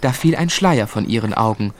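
An actor playing a grown man narrating memories of his hard boyhood in a measured, reflective literary style. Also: on the low side, expressive, a little hiss or hum, close to an everyday voice. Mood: reflective, wistful.

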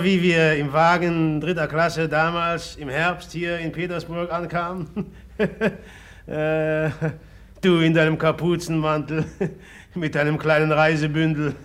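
An actor in a German radio play, playing a brooding, volatile man who speaks haltingly and guardedly, repeating his words. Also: a little high.

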